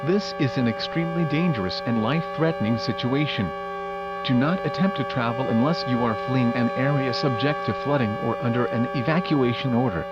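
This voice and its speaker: A synthetic male voice reading scripted emergency weather bulletins as an automated radio announcer, in a formal, impersonal, measured way. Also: medium pitch, over loud music. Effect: weather radio broadcast. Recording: muffled noisy weather radio broadcast, humming.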